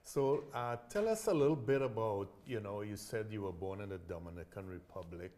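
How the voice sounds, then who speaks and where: A middle-aged man from Trinidad hosting an interview show, chatty and encouraging, laughing often and filling pauses with murmured agreement. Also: on the low side, animated, dark tone. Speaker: talk show host. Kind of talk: community TV interview.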